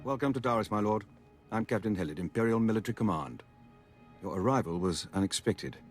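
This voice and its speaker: An actor playing a dutiful male imperial captain, formal and brisk. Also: on the low side, faint music behind, lightly acted.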